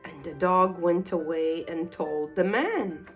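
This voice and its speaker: A woman narrating a scripted children's bedtime story with expressive, deliberate storybook phrasing. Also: on the low side. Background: music.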